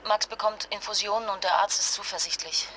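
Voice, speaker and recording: A woman's voice: medium pitch, fairly even intonation, a little fast, pushed and bright, a little hiss or hum, lightly acted, poor audio. An actress playing a distraught young mother fleeing disaster with a sick child, speaking with emotional, urgent intimacy in a naturalistic radio drama. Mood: weary, resolute.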